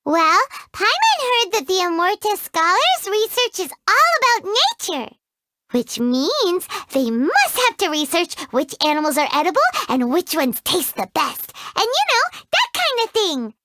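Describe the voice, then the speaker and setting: A woman voicing a tiny, excitable, greedy female fairy companion in a game who talks about herself in the third person. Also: extremely high pitch, a little slow, slight background noise, heavily acted. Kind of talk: game voice acting.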